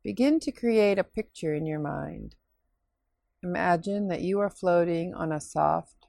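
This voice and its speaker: A woman leading a scripted guided relaxation meditation in a soothing, unhurried, instructing way with pauses. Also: on the low side, vocal fry, a little mellow, dry recording, studio quality.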